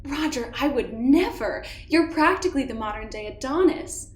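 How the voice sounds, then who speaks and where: A woman's voice: sits high, animated, moderate pace. A woman playing an airy, imperious, genteel lady in an audio drama, mannered and teasingly condescending. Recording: roomy echoing sound.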